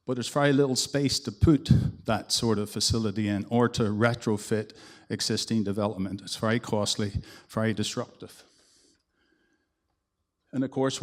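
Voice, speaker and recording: A man with a Northern Irish accent giving a conference presentation as an engineer, in a relaxed, conversational way with some restarts. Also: medium pitch. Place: conference hall.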